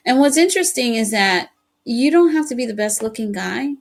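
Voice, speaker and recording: A woman's voice: a little high, expressive, brisk. A woman hosting a podcast interview in a casual, agreeable way, Spanish her first language. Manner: chatty, agreeing often. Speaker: podcast host.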